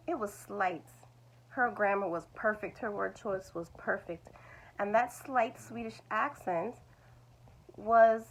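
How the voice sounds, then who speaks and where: A woman's voice: a little high, low-quality recording. A woman, teaching language learners in a reassuring, repetitive way. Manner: reassuring, repeats "it's okay". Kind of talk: talking-head video lesson.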